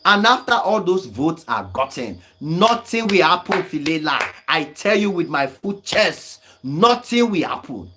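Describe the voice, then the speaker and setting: A man's voice: fairly high pitch, big pitch swings, measured pace, hardly any pauses, background noise. A young man, talking on a livestream and arguing back at viewer comments with emphatic, scolding insistence and frequent laughs. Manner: argumentative, emphatic, laughs often. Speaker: online commentator.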